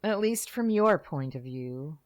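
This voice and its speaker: A woman playing a chatty, matter-of-fact mother in expressive old-time radio drama style. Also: low voice, a little slow. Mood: chatty, sympathetic. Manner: expressive, exclamatory.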